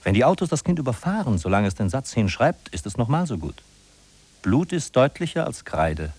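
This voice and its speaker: An actor playing a sardonic narrator in a 1950s German radio play, ironic, declamatory and emphatic. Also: male voice, medium pitch, big pitch swings, a little fast, a little mellow, no echo. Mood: bitterly ironic. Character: sardonic male narrator of a bleak big-city inventory. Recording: husky-sounding 1950s radio recording from cassette.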